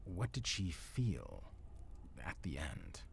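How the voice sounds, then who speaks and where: An actor playing a menacing supernatural villain in an audio drama, cold, deliberate and taunting. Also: male voice, extremely low pitch, huge pitch swings, vocal fry, slight background noise, heavily acted. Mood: cold curiosity. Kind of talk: audio roleplay drama acting.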